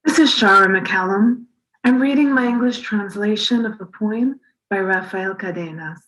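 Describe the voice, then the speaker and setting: A woman's voice: mid-range voice, normal speed, a bit bright, rough recording. A woman reading poetry as one of its translators.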